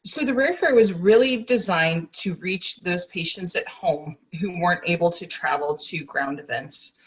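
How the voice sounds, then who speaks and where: A woman's voice: lowish voice, a little slow, distant mic, poor audio. A woman, a rare disease advocate, speaking as a guest in an interview over a video call, fluent and enthusiastic. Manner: fluent, enthusiastic, well-practiced.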